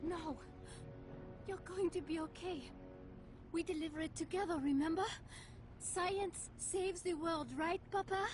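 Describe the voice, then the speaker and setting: A woman's voice: very high-pitched, steady tone, measured pace, long pauses. An actress playing an earnest, idealistic woman scientist with a pleading, intense manner in a video game cutscene. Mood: grieving. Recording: game audio with music behind.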